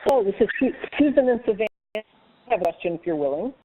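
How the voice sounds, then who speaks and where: A woman's voice: mid-range voice, lively intonation, very fast, no echo. A woman calling in to a webinar to ask a question conversationally, over a tinny phone line. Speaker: audience member asking a question. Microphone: telephone call-in.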